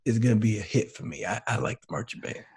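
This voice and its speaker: Male co-host, former player, relaxed, husky and a bit scratchy. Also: on the low side, fast talker. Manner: relaxed, laid-back, lots of fillers. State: slightly raspy, scratchy throat. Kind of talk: casual sports talk.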